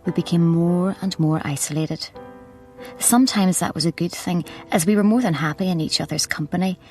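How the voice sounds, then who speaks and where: An Irish actress playing a concerned, insistent newspaper colleague in a radio drama. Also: female voice, on the low side, moderate pace, a little mellow, faint music behind, close to an everyday voice. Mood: reflective narration. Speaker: actor in a radio play. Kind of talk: naturalistic radio drama acting.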